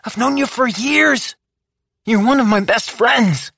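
A fiery young woman, all nerve and bite, swearing as she fights, as an audiobook reader puts her on. The voice sits high and husky, close and dry, pleading hard and pushing fast. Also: fairly bright tone, no room sound, exaggerated voice. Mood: earnest. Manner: raised pitch for the character, urgent and pleading. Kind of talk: audiobook character voice.

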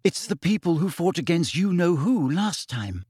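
A man's voice: on the high side, big pitch swings, a little mellow, breathy edge, no room sound, studio quality, voice pushed up. A man voicing an anxious, clever teenage girl who apologizes and explains in a hurry. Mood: hasty explaining. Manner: apologetic, hurried.

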